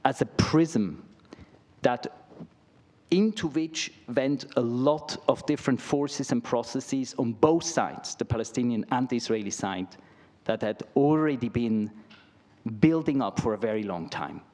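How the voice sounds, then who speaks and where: A middle-aged man lecturing in an academic setting, and the voice sits at an ordinary middle pitch for a man. He talks in a loose, conversational way, checking in with his listeners through "right?" and "you know?" as he goes. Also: unhurried, a little mellow. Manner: conversational, peppered with "right?" and "I mean".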